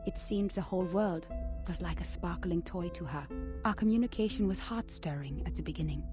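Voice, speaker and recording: A woman voicing a reflective female narrator reading out her own written account, measured and wistful. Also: lowish voice, over music, close to an everyday voice. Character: reflective woman recalling a strange acquaintance. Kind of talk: game narration of a written record.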